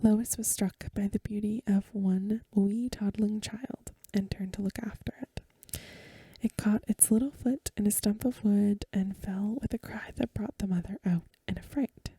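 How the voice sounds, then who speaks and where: A woman reading a story aloud in a soft, breathy, mostly whispered ASMR style, gentle and unhurried, close to the microphone. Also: medium pitch, steady tone, dry recording. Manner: gentle, unhurried, literary narration.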